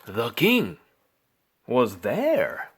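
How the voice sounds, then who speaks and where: A man reading a children's bedtime story aloud, with expressive, theatrical phrasing and drawn-out words. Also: mid-range voice, slightly rough. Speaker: storybook reader.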